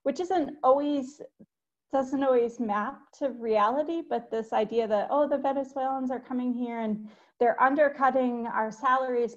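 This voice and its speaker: Higher-voiced woman on a muffled video call. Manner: conversational, fillers like "like" and "kind of". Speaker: panelist. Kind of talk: academic webinar discussion. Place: home room. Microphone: video call with earbuds. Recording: dull video call audio.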